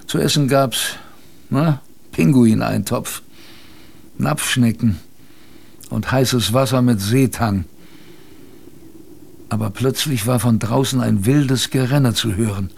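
Gravelly old grandfather, slowly reminiscing. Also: mid-range voice, darkish tone, exaggerated voice. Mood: wry, reminiscing. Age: older. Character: old grandfather, polar expedition survivor, tender, proud, emotional. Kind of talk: radio drama storytelling to a grandchild.

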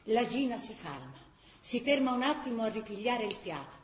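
A woman's voice: on the high side, big pitch swings, normal speed, echoey room, a little hiss or hum. A woman narrating scripted radio theatre.

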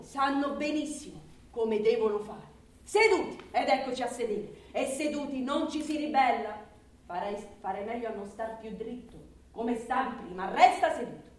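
A woman playing a worldly, cynical, weary woman with a sardonic, declamatory delivery in theatrical radio drama. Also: a little high. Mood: weary, bitter. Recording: roomy, distant microphone.

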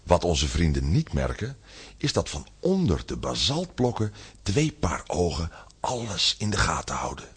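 A man's voice: sits low, wildly swooping pitch, a little slow, slightly rough. A man narrating a scripted children's story as the narrator of an audio drama.

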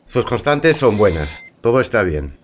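An actor playing a calm, reassuring, clinical doctor in a radio drama. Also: male voice, on the low side, normal speed, a little hiss or hum.

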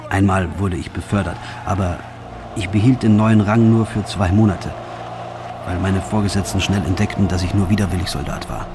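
An actor playing a reluctant, disillusioned soldier, narrating a radio drama monologue in a quiet, resigned, brooding manner. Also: male voice, deep voice, steady tone, normal speed, background noise, lightly acted. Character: reluctant, disillusioned soldier, former locksmith, male, weary.

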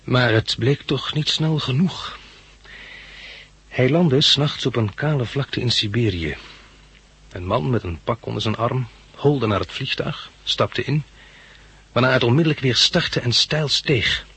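A man's voice: mid-range voice, measured pace, a bit bright, breathy edge, no echo, heavily acted. An actor playing an earnest man who recounts his studious youth with precise diction in a 1960s Dutch radio drama. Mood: grave recounting.

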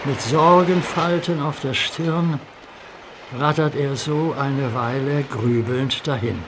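A man's voice: mid-range voice, steady tone, takes it slowly, noisy background. A man narrating a children's radio play in a vivid storytelling manner.

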